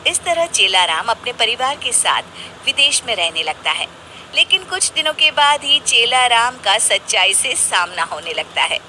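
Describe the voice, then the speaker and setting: A woman narrating an animated moral story for children in an expressive storytelling style. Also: fairly high pitch, moderate pace, a little hiss or hum, rough recording.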